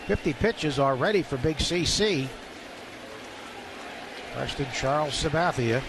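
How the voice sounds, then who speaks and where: A man's voice: a little high, measured pace. A man doing live radio play-by-play of baseball as an announcer, speaking in clipped phrases. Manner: clipped phrases, rising to excited calls on big plays. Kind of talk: live radio sports commentary. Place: ballpark broadcast booth. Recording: live stadium broadcast, loud background noise.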